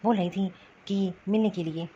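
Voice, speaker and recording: A woman's voice: medium pitch, steady tone, normal speed, warm tone, husky, natural voice. A woman playing a troubled, dutiful husband in an amateur family cartoon, speaking in a hesitant, resigned manner. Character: troubled, dutiful husband torn between mother and wife. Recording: muffled lo-fi sound, music behind.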